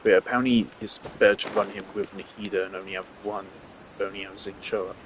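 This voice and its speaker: A man's voice: on the low side, little pitch movement, quick pace, background noise. A man giving casual gameplay commentary, thinking aloud and rambling with restarts. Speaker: gameplay streamer.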